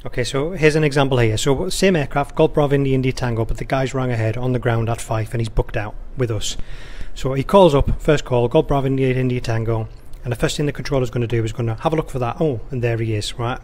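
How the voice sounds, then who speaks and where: A man's voice: medium pitch, fast talker, hardly any pauses, darkish tone. A man who works as an air traffic controller, giving an informal, conversational instructional walkthrough sprinkled with radio phraseology. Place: indoor control room or workstation, beside a touchscreen.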